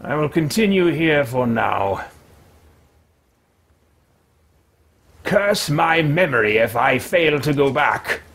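Give this fussy, formal wizard of a fantasy game as a man roleplays him a slightly high, husky voice. He speaks in stilted, theatrical phrases and takes his time over them, meticulous and easily irritated. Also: expressive, a little slow, a bit bright, heavily acted. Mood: musing, deliberate. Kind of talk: in-character roleplay of a video game. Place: small room at home with shelves.

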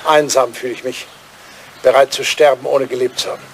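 A man's voice: mid-range voice, flat delivery, brisk, a little hiss or hum, natural voice. An older man acting a lonely, lovelorn English lord in a German radio drama, insistent and theatrical in his phrasing. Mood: pleading, bitter. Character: wistful old English lord, lonely lovelorn bachelor writer.

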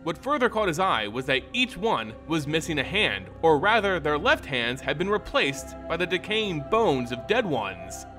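A man reading a fantasy tale as a dramatic narrator, with theatrical, deliberate phrasing. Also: a little high, very animated, pushed and bright, faint music behind. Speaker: storyteller, narrator.

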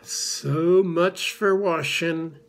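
A narrator doing a slow, gloomy grumbling donkey. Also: mid-range voice, fairly even intonation.

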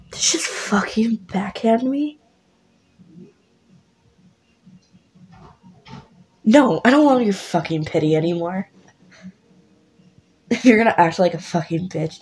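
Vance is a swearing, confrontational young man who is the listener's best friend, as a female narrator puts him on. His voice is husky, mellow and low-key. He pushes and insists with rough, heated words. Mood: furious. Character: angry, pushy young male best friend.

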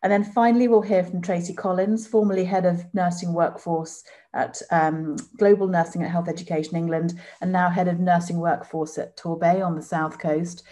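Low, mellow-voiced woman chairing a panel. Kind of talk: scripted conference introduction. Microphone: video call.

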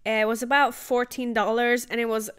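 A woman's voice: fairly high pitch, little pitch movement, moderate pace, very noisy. A woman travel vlogger chatting casually about market food as she tastes it. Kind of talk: casual travel vlog narration. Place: outdoor night market.

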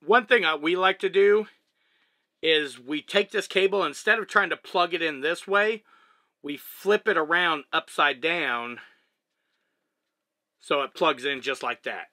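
A man's voice: on the high side, measured pace, pauses often, no echo, studio quality. A man in his thirties with a Texan accent, casually walking through his camera setup step by step inside a truck cab. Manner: relaxed, chatty, explains step by step while handling gear. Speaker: vlogger. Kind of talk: casual gear demonstration. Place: small living cabin of a truck, indoors.

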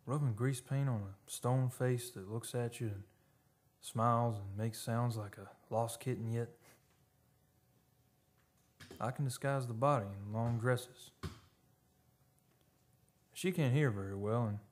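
An actor playing a haunted, obsessed oil driller, telling his story haltingly in an old-time radio play. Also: mid-range voice, natural voice. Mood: uneasy fascination. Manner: halting, repeating words, dramatic. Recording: live studio radio play, noisy background.

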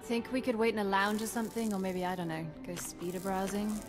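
A woman's voice: mid-range voice, steady tone, fairly quick, close to an everyday voice. A woman playing a cheeky, irreverent young pilot in a video game, casual and sarcastic. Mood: teasing. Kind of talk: video game cutscene acting. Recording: loud music behind.

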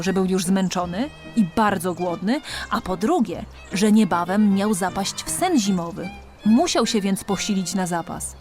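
A woman reading a children's storybook as an audiobook narrator, expressively and with dramatic emphasis. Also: mid-range voice.

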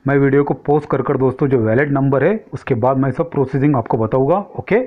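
A man's voice: medium pitch, fast, narrow, tinny audio. A man giving a casual, explanatory tech tutorial, often addressing his viewers directly. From India. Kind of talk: casual screen-recording tutorial commentary.

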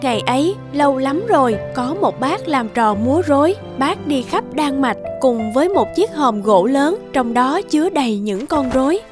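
A woman's voice: high-pitched, expressive, normal speed, hardly any pauses. A woman reading scripted fairy-tale narration as a storyteller. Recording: prominent children's music behind.

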